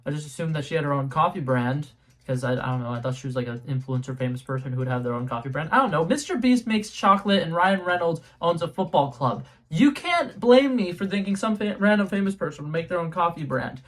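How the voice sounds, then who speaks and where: A man's voice: a little high, lively intonation, fast. A young man from New England hosting a casual taste-test vlog at home, chatty and rambling with lots of ums and restarts. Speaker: YouTube host. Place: home room with a color-changing globe light.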